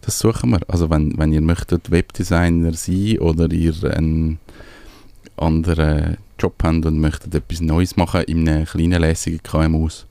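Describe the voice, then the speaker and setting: A man's voice: extremely low pitch, little pitch movement, moderate pace, creaky, mellow, clear, resonant tone, no echo. A man with a Swiss German accent chatting casually as a radio co-host, rambling and searching for his words. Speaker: radio show co-host and small business owner.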